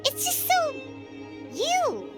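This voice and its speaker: A tiny childlike fairy companion from a video game. Her voice is extremely high and squeaky, swinging excitedly up and down. She talks in exclamations, with music clearly playing behind. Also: extremely slow, heavily acted. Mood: chatty, excited. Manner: exclamatory, speaks of herself in third person.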